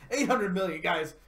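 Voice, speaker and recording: A man's voice: sits high, a bit bright. A man co-hosting a gaming live stream, bantering casually with wry, joking interjections. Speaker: co-host of a video game live stream.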